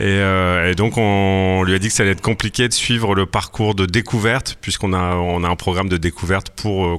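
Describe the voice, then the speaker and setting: Man speaking for an association, deep voice, explains with many fillers. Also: fairly bright tone. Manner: explanatory, with frequent euh fillers. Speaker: association representative. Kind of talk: interview answers.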